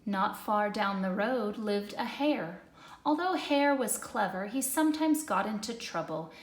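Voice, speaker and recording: A woman narrating a children's storybook in an expressive read-aloud style. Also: mid-range voice, measured pace. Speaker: storybook reader. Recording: echoey room.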